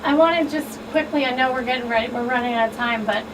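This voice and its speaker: A woman's voice: a little high, fast talker, far from the mic. A woman hosting a radio talk show in a small studio, asking questions conversationally. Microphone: studio microphone on boom arm. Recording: off-mic, clear background noise.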